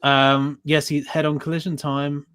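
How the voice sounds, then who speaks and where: A man's voice: on the high side, flat delivery, a little slow, fairly bright tone, smooth, soft background music. A British man co-hosting a podcast in casual, conversational chat. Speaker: podcast co-host.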